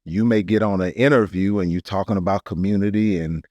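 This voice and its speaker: A man's voice: sits low, a little fast, mellow, no echo, lo-fi sound. An American man co-hosting a podcast with casual, conversational banter. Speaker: podcast co-host.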